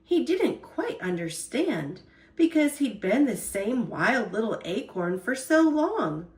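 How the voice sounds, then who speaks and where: A woman storyteller reading a children's picture book aloud in an expressive, deliberate way. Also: a little high, reverberant.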